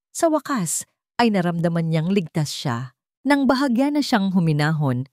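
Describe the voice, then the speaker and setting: Low-pitched woman storyteller, very expressive. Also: no room sound, studio quality. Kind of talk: dramatic scripted story narration.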